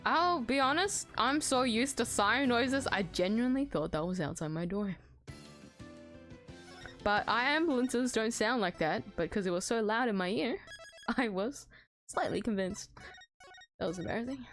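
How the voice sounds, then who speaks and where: A woman's voice: fairly high pitch, expressive, moderate pace, pauses often, fairly bright tone. An Australian woman chatting casually while streaming a game from home, giggly and self-deprecating, often tripping over her words. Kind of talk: casual let's-play commentary. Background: faint background music.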